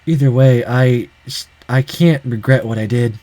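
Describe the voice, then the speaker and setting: A man's voice: mid-range voice, unhurried, warm tone, close to an everyday voice. A man voicing a dying young man in a visual novel, weary and tender, with a self-deprecating streak. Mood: weary resignation.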